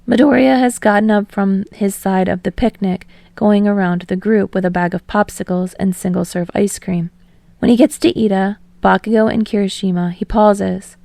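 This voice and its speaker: A woman's voice: medium pitch, fairly even intonation, normal speed, vocal fry, warm tone, dry recording. A woman reading fiction aloud as an audiobook narrator in a scripted storytelling style.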